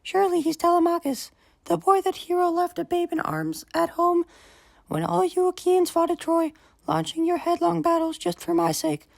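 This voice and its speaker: A man's voice: very high-pitched, level tone, normal speed, vocal fry, no room sound, voice pushed up, full character voice. A man voicing a refined, remorseful queen in formal epic dialogue for an audiobook. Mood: wondering, self-reproachful. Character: refined, remorseful queen, an adult woman, breathy falsetto.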